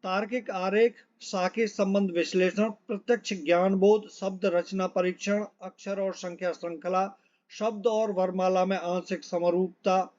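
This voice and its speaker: A man's voice: sits high, steady tone, unhurried, a little mellow, muffled recording. A man giving an informal instructional walkthrough as an exam coach, reading out lists and repeating points with frequent check-ins.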